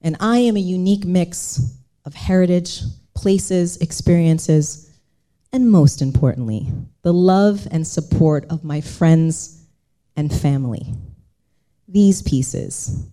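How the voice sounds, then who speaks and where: Woman speaker, mellow lower voice, animated and earnest, personal stage talk. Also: slow pace. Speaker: speaker at a live storytelling event.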